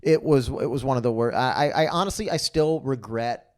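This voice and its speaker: A man's voice: mid-range voice, a little fast. Wry young male podcast guest. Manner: wry, dry jokester. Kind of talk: casual chat.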